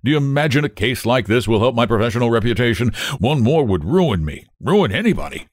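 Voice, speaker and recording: A man's voice: mid-range voice, big pitch swings, a little fast, dry recording, clean studio sound, pitched above the performer's own voice. A man voicing an irritable older family doctor with a cutting, indignant manner, in a storybook reading. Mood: exasperated.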